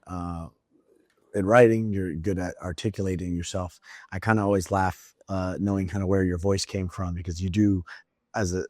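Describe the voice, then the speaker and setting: Host: man, lowish pitch, dry sound, meandering interviewer. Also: darkish tone, no echo. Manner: rambling, hedging with kind of and I mean. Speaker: podcast host, coach. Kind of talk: podcast interview.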